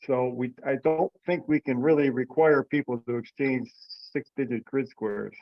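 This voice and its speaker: A man's voice: medium pitch, normal speed, no echo. Hesitant man, dull lo-fi call audio. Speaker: event organizer presenting rules. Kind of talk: casual meeting discussion. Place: online teleconference call.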